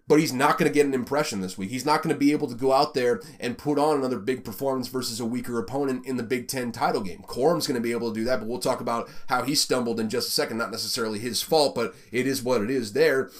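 A man with a Southern American accent hosting a casual college football rundown, rambling and conversational with plenty of fillers. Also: medium pitch. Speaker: college football podcast host. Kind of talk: casual sports commentary.

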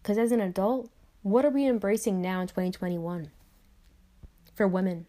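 A woman's voice: mid-range voice, moderate pace, mellow. A young adult woman speaking to camera in a reflective motivational monologue, repeating phrases and tossing in conversational asides. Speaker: vlogger.

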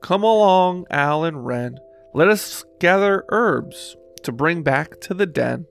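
A man voicing a bossy raven from a children's storybook, giving a loud squawking call as he rounds up the others. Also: a little high, expressive, slow pace, gravelly, soft background music, heavily acted. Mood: urgent. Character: cawing raven bird, bossy helper.

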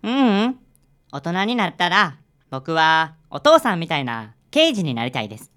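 Animated, earnest little schoolboy. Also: female voice, on the low side, normal speed, smooth. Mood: sincere. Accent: Kansai. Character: earnest little schoolboy, eager admiring detective's pupil. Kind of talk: radio drama acting.

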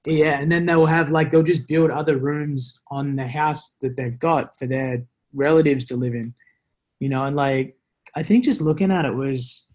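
A man's voice: fairly high pitch, steady tone, moderate pace, a little mellow. Rambling, jokey Australian man chatting. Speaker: interview guest, former special forces soldier. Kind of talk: casual podcast conversation, storytelling.

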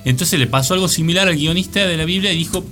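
A man's voice: fairly high pitch, fast talker, pushed and bright. A man with an Argentine accent chatting comically on a radio panel, colloquial and free with swearing. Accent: Argentine Spanish. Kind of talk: casual comic chat. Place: radio studio with music behind.

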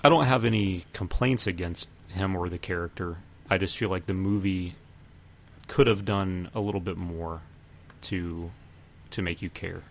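Low-voiced man chatting about films. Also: normal speed, gravelly. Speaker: podcast co-host. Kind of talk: casual film discussion.

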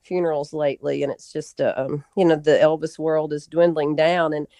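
A woman's voice: low voice, fairly even intonation, moderate pace, dark tone, no echo. A woman in her forties, an interview guest reminiscing conversationally. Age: 40s.

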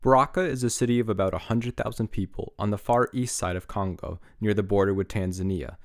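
A man narrating a podcast in a measured, scripted reading. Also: lowish voice, no room sound, studio quality. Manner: measured, even read delivery.

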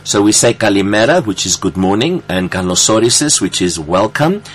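A man's voice: fairly low pitch, sing-song, a little hiss or hum. A man hosting a radio interview, gushing and effusive, stretching out his words in the studio. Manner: effusive, gushing, drawn-out phrasing. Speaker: radio show host.

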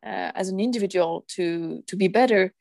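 A woman from France, a podcast guest who speaks thoughtfully and pauses to find her words. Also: mid-range voice, creaky, a little mellow, dry recording, studio quality. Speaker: guest, zero waste association founder. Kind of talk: podcast interview.

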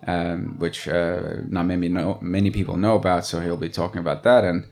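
A man's voice: deep voice, fairly even intonation, fairly quick, a little mellow. A man hosting an interview, introducing his guest and asking questions with many hesitations. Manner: hesitant, many fillers and restarts.

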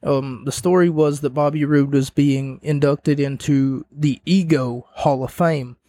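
A man's voice: fairly high pitch, fairly even intonation, measured pace, mellow. A man giving a casual spoken review and recap as host, rambling with jokey asides, his voice starting to wear out. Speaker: wrestling show reviewer, host.

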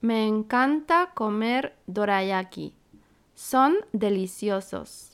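A woman from Santiago, a teacher co-hosting a slow, scripted beginner lesson with clear, deliberate phrasing. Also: medium pitch, lively intonation. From Santiago, Chile. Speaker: podcast co-host, Spanish teacher.

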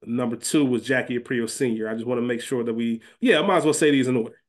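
A man's voice: mid-range voice, fast talker. A man chatting casually and emphatically as a podcast co-host, conversational in his delivery. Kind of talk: casual chat and recap.

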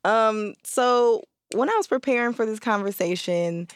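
A woman's voice: on the high side, a little slow, clean tone, no room sound. Curious woman hosting, dry sound. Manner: curious, upbeat questioning. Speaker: host. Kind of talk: casual interview.

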